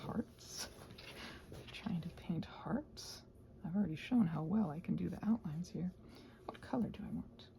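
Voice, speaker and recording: A woman chatting casually while crafting, soft and often dropping to a near-whisper, hesitant and thinking aloud, with playful little exclamations. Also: lowish voice, unhurried, creaky. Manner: hesitant, thinking aloud, trailing off mid-sentence. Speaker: host of a crafting ramble channel. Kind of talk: casual rambling craft chat, ASMR-like.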